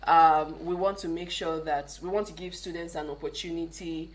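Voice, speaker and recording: A woman's voice: sits low, fairly even intonation, moderate pace, poor audio. A woman with a Nigerian accent explaining things conversationally as a guest in a radio interview. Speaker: interview guest, tech programme organiser. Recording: clearly audible background noise.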